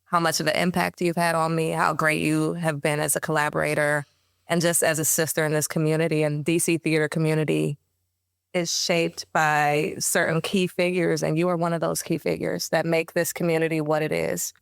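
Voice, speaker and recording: A woman hosting a podcast interview, asking questions and offering measured, heartfelt praise to her guest. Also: sits low, little pitch movement, vocal fry, clear, resonant tone, no echo, studio quality.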